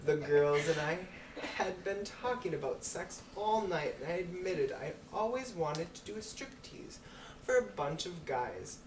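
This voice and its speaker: Low-voiced woman reading aloud, echoey room. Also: animated, a little slow. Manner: giggly, breaking into laughs while reading. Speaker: amateur reader. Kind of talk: reading an erotic story aloud. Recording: roomy, distant mic with clear noise.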